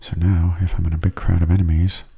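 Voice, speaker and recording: A man giving gentle, soft-spoken ASMR game commentary in a breathy, near-whispered voice, unhurried and close to the microphone. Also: sits low, level tone. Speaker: gaming channel host.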